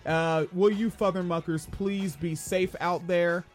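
Man, high-pitched voice, casual live-stream co-host, rambling and swearing, faint music behind. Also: fairly even intonation, unhurried. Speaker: podcast co-host.

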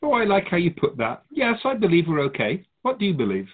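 Man playing a husky, lilting otherworldly sage spirit. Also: high voice, huge pitch swings, fast talker, heavily acted. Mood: curious, gentle. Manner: musing, formal, gently wry, philosophical. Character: Keeper of Souls Purpose, wise curious otherworldly being. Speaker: spirit guide being interviewed. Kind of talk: radio drama dialogue. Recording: muffled phone-like narrow band.

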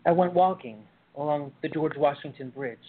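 A man acting in a radio play as a despondent young man with a husky voice, hesitant and defensive, sometimes stammering. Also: on the high side, normal speed, lightly acted. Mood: brooding, uneasy. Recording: tinny, phone-like narrow-band audio.

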